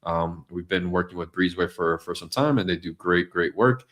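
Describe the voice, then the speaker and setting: A man with a very deep voice co-hosting a business podcast. He is hoarse, close to losing his voice, and rambles through his points with many fillers and restarts. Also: moderate pace, fairly bright tone. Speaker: podcast co-host. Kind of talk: casual business podcast chat. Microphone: studio mic.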